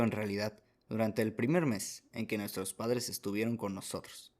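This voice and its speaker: A man reading scripted first-person story narration as the narrator of a radio drama. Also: fairly low pitch.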